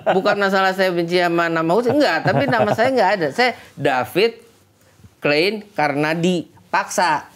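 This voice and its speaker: A man's voice: sits high, animated, moderate pace, a bit bright. A man teaching audio basics in a casual, joking way, repeating key words for emphasis. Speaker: guest audio expert and teacher. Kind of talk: casual educational talk with jokes.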